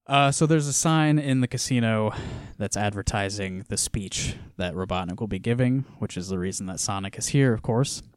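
A man co-hosting a casual podcast, walking through a comic with frequent laughter and quiet trailing-off lines. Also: on the low side, normal speed, smooth, no room sound, clean studio sound. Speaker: podcast co-host. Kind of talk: casual chat reviewing a comic.

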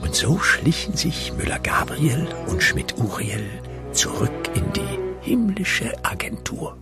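A man's voice: on the high side, very animated, takes it slowly, creaky, loud music behind the voice. A man narrating a comic radio play in a theatrical storyteller's manner.

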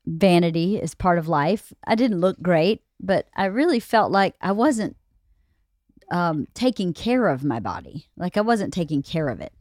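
Podcast co-host: woman, late forties, lower register, candid and reflective, close dry studio sound. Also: sing-song, unhurried, a little mellow, no room sound. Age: late 40s. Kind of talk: casual intimate conversation.

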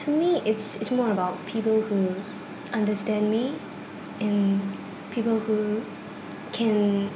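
A young woman from China speaks in a casual interview, hesitant and stumbling over her words, often breaking into small laughs. She is recorded in an echoey room with clear background noise behind her. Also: mid-range voice, slow pace, long pauses. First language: Cantonese. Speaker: interviewee, university student.

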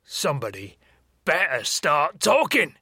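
An irate hotel guest played by an actor in an audio drama, blustering and profane. The delivery is forceful and husky, swinging widely in pitch, and recorded dry and close. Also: wildly swooping pitch, unhurried, no echo, exaggerated voice. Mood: outraged. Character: irate, foul-mouthed, indignant hotel guest.

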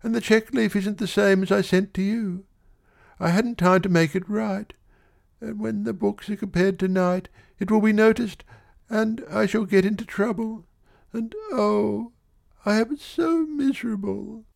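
A man's voice: high-pitched, steady tone, normal speed, vocal fry, pitched above the performer's own voice. A man reading aloud, voicing a frightened, tearful young shop girl who pleads in a breathless rush. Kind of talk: audiobook reading.

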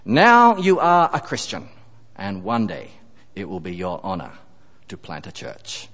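A man voicing an earnest believer with a put-on Chinese accent, soft and lowered. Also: huge pitch swings, unhurried, exaggerated voice. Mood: solemn. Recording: long church-hall reverb.

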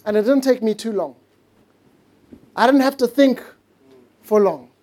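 A mellow, warm-toned man preaching a heartfelt sermon. He stretches out important words and sinks into soft, hushed moments for emphasis. English is a later-learned language for him. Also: extremely high pitch. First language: not English. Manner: earnest, stretches key words slowly, drops to a hush for emphasis. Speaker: pastor.

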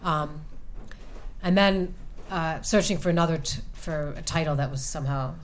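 A woman author answering audience questions about her novel, speaking thoughtfully with many pauses and restarts. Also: low voice, a little hiss or hum. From United States. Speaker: author at a reading. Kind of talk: book talk and audience Q&A. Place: event room with an audience.

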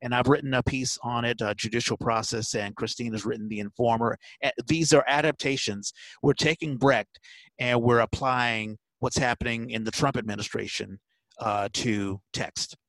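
A man hosting a casual podcast chat, announcing events haltingly and repeating words for emphasis. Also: mid-range voice, fairly even intonation, fairly bright tone, no echo, rough recording. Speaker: podcast host.

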